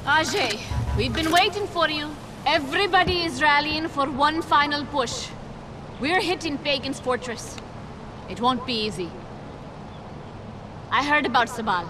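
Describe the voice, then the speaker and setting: A rebel fighter rallies her comrades in a video game cutscene. Her voice is high and urgent. Clear rumbling noise sits behind her. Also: measured pace. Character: urgent female rebel fighter rallying for battle.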